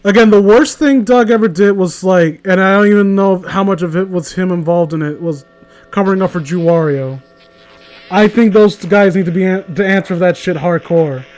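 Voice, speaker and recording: A man's voice: high-pitched, normal speed, darkish tone. A man chatting casually in a blunt, opinionated way with plenty of swearing. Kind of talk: casual chat.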